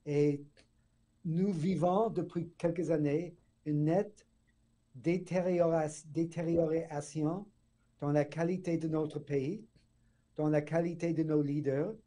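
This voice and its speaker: Earnest professor with a very dark voice. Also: male voice, a little high, steady tone, takes it slowly. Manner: earnest, restarts words. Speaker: political science professor. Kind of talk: radio interview guest.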